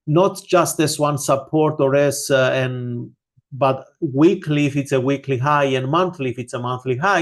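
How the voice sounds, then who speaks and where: A man with a Greek accent giving live market analysis and answering viewer questions, speaking loosely with many fillers. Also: on the high side. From Greece. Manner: unhurried, many fillers, addresses viewers as guys. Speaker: forex analyst hosting a live stream.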